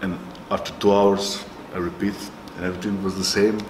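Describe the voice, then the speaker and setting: A man's voice: deep voice, little pitch movement, brisk. A man telling a casual anecdote as a member of a network. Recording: echoey room, faint background noise.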